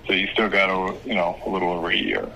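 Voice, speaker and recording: A man speaking as an attorney, giving advice on a phone call in a measured, hesitant, explanatory way. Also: sits low, big pitch swings, vocal fry, sharp tone. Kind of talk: phone consultation, casual advice. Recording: phone call with faint noise.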